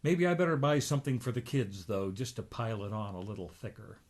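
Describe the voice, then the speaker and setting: A man's voice: lowish voice, brisk, darkish tone, lightly acted. Man playing adult male gander, comic-book character, smug, scheming, self-satisfied. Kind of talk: comic book read aloud.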